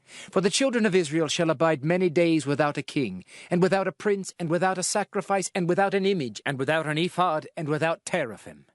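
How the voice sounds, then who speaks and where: A man's voice: on the high side, animated, brisk, dry recording, studio quality. A man narrating scripture in a dramatized style, with a solemn, declamatory delivery as a prophet. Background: music.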